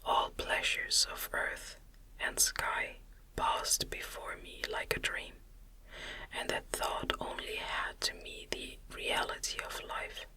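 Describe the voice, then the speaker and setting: A woman gently reading a novel aloud in a breathy, unvoiced ASMR whisper, slow and deliberate. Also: rough recording. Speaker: audiobook reader.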